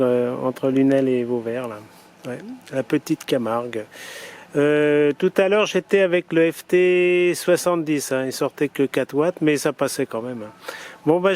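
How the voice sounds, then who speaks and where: Higher-voiced man on ham radio, noisy outdoors. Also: darkish tone. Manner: spells call signs in phonetic alphabet. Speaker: amateur radio operator. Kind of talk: ham radio contact, casual demonstration. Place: outdoors, portable station. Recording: noisy outdoor recording, vehicle and urban sounds.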